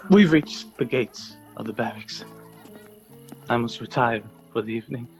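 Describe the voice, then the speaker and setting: An actor as a solemn, honorable army lieutenant. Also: male voice, mid-range voice, moderate pace, creaky, soft background music, close to an everyday voice, poor audio. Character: grave, honorable lieutenant recounting a tragedy. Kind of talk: radio drama acting.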